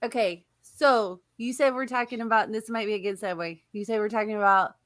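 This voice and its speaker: A middle-aged woman with a high-pitched voice, co-hosting a podcast and telling stories in casual chat, giggling often. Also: fairly quick. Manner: giggly, animated storyteller. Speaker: podcast co-host.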